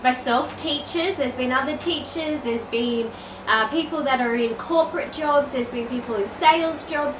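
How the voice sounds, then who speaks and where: An Australian woman in her thirties speaks to camera with a high voice and a blocked, stuffy nose from a cold. She chats straight to the viewer like a coach. The sound is roomy and echoey, and she sits a little back from the mic with a faint hiss behind her. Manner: chatty, addresses the viewer directly. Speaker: online business coach. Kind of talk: direct-to-camera video message. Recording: roomy, a bit distant from the mic.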